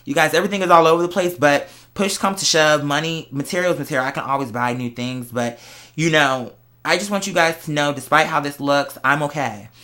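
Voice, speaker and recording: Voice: medium pitch, a little fast, pushed and bright. A young adult American speaker chatting casually and candidly to followers, with slangy, emphatic phrasing. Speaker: vlogger. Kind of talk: casual confessional vlog chat to followers.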